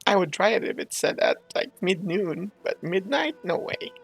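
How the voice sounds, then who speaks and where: A man's voice: high-pitched, very animated, moderate pace, gravelly, a bit bright, slightly rough, faint music behind. A man doing casual, incredulous, bantering commentary as a gaming streamer, close on the mic.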